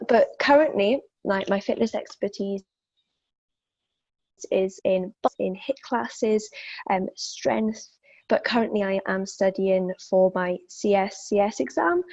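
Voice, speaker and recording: A woman's voice: mid-range voice, a little mellow, no echo, lo-fi sound. A young woman from South Wales with a Welsh accent, answering interview questions as a fitness instructor, thoughtful and full of fillers and restarts.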